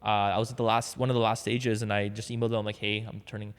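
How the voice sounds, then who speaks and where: Fast-talking young Canadian man, eager. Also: on the low side, flat delivery, smooth, long reverb tail. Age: 20s. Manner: fast, eager, rambling with many restarts and "like". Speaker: guest trader. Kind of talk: casual podcast chat.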